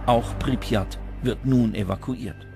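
A man's voice: medium pitch, takes it slowly, vocal fry. A man reading scripted documentary narration as the narrator. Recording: music bed under the voice. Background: prominent background music.

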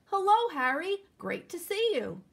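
Lady reading as a well-meaning boy dog in a kids book, high voice, slow and earnest. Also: sing-song, gravelly, full character voice. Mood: eager. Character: eager, well-meaning but easily tempted pet dog, male.

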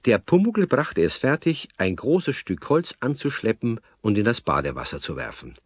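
A man's voice: on the low side, very animated, moderate pace, husky, dry recording, studio quality. A man narrating a scripted children's story as a storyteller.

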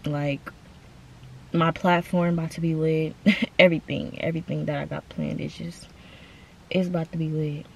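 A woman's voice: low-pitched, little pitch movement, moderate pace, vocal fry, pauses often, slight background noise. A young woman talks straight to her phone camera from the driver's seat of a parked car. Her speech is loose and slangy and keeps circling back to her audience. It sounds close and unpolished, like a casual car vlog. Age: 20s. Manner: chatty, slangy, addresses viewers as y'all. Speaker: vlogger.

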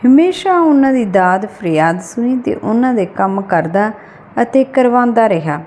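A woman's voice: on the high side, animated, moderate pace, a little mellow, a little hiss or hum. A woman reading a literary short story aloud as scripted audiobook narration.

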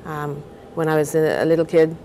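An older woman from Guyana, a novelist, talking and reading aloud in a measured, thoughtful way on a TV studio set. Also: deep voice, little pitch movement, dark tone, slight background noise. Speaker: novelist guest.

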